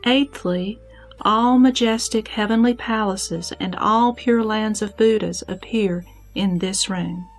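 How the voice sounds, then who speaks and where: Serene, wise goddess voiced by a woman, music behind. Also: medium pitch. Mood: calm, instructive. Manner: measured, didactic, declamatory. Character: serene, wise heavenly goddess teaching with calm authority. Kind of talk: scripted sutra audio drama. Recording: background music under the voice.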